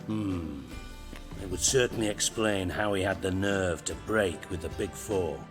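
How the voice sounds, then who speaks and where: Wry game underworld boss: lowish voice, measured and sardonic, music behind. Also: male voice. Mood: wry, shrewd. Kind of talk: video game voice acting.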